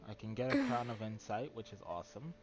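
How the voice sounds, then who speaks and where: Low-voiced, laid-back male game streamer. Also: animated, quick pace, heavy background noise. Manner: laid-back, thinking aloud. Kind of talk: casual live gameplay commentary. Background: game music.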